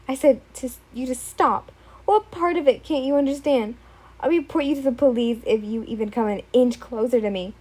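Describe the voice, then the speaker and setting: Woman reading a young woman, firm, insistent, high soft voice. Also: a little slow. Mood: alarmed.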